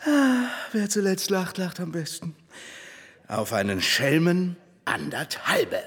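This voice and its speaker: A jovial, clownish doctor of middle age in a radio play. The voice is husky and swings freely in pitch, tossing out muttered quips. It rings in a reverberant hall. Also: male voice, wildly swooping pitch, slow, a bit bright, full character voice. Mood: jocular. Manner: jokey, muttering asides and stock phrases. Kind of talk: German radio play acting.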